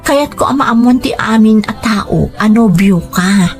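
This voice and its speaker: A woman's voice: a little high, expressive, unhurried. A woman playing a devoted young daughter in love, speaking tenderly and earnestly in a radio romance drama. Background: background music.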